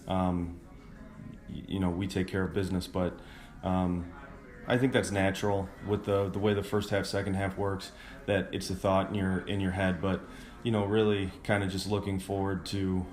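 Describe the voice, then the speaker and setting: A man who manages a baseball team, answering interview questions casually and haltingly, thinking aloud as he goes. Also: low voice, darkish tone. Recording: roomy, echoing sound.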